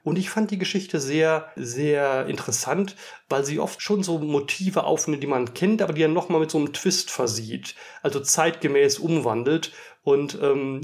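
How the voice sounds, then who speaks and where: A man's voice: fairly high pitch, gravelly. A man hosting a podcast conversation, explaining in long, articulate sentences. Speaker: podcast host. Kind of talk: podcast conversation with book review.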